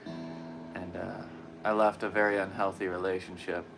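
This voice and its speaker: A man talking slowly and haltingly during a livestream, his voice hoarse and tired, with pauses and repeated phrases. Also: sits low, level tone, music in the background, low-quality recording. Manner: soft-spoken, halting, with long pauses and repetitions. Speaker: guitarist livestreaming practice. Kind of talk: slow reflective personal talk.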